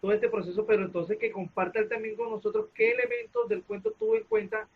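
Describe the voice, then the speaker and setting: High-pitched man interviewer, phone-like sound. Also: animated, fast, vocal fry, breathy edge. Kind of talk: conversational interviewing. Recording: thin phone-like call audio.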